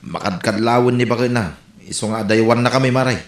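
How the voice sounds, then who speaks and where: A friendly, gossipy village man with an Ilocano accent, played by a man, with a mid-pitched voice. He chats and hands out advice like an old buddy. There is room echo around him. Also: fairly even intonation, fast, lightly acted. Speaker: actor in a comedy skit. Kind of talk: comedy skit acting.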